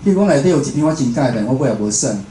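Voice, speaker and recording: A man's voice: medium pitch, expressive, very fast, warm tone. A man with a Taiwanese accent giving an informal talk as a radio host, conversational, repeating phrases and putting questions to his listeners. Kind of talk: informal public lecture. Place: indoor room, speaking to an audience. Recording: roomy echo, faint background noise.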